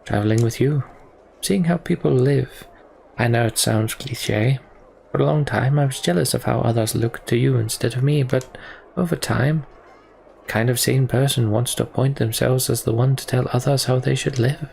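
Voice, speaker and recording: A man playing a candid, disillusioned young prince in a fantasy game, speaking in a measured, reflective way. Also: mid-range voice, creaky, darkish tone, slight background noise, close to an everyday voice. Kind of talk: voiced game dialogue reading.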